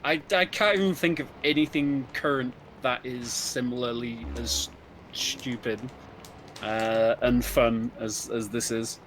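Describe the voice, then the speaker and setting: A man's voice: mid-range voice, steady tone, unhurried, fairly bright tone, slight background noise, rough recording. A man giving chatty, emphatic co-commentary on a video game speedrun, explaining it casually and repeating words for stress. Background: video game music.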